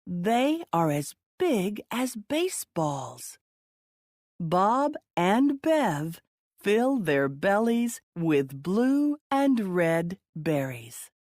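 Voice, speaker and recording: A woman reading children's stories aloud in a deliberate, expressive way for young listeners. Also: mid-range voice, no room sound, clean studio sound. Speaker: children's storybook reader. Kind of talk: read-aloud children's story narration.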